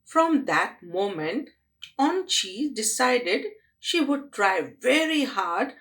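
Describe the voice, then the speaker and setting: Slow, animated woman storyteller. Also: on the high side. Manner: addresses small children, deliberate expressive phrasing. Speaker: storytelling teacher at a day care. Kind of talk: children's story read aloud.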